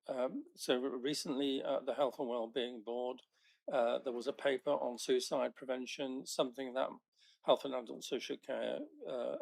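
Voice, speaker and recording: Male councillor with a gravelly voice and a halting, restart-heavy way of questioning. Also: mid-range voice, darkish tone, low-quality recording. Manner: halting, many restarts and fillers. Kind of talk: questioning at a council committee meeting. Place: council meeting chamber.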